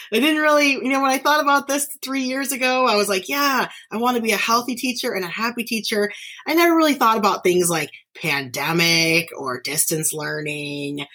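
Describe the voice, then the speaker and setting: A woman from northern Nevada hosting her own podcast, chatting casually and warmly about her life, with frequent laughs, fillers and restarts. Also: on the high side, moderate pace. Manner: chatty, giggly, frequent fillers and self-corrections. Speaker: podcast host, teacher. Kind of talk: casual solo podcast chat.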